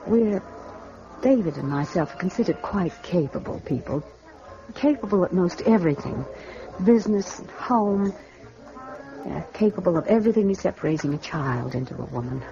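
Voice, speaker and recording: A guarded, well-to-do American wife and mother speaks haltingly, with wounded restraint. It is vintage radio drama acting with a dull, muffled broadcast sound. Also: on the low side, expressive. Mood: pained, halting.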